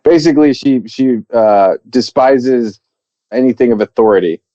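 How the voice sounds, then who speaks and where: A man's voice: medium pitch, normal speed, warm tone, no echo, lo-fi sound. A man chatting casually on a podcast, telling a long story with lots of fillers and restarts. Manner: rambling, many fillers and restarts. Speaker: podcast co-host.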